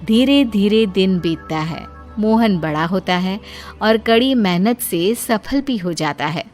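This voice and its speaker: A woman's voice: medium pitch, animated, takes it slowly, a little mellow. A woman storyteller reading a scripted moral story as narration. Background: music.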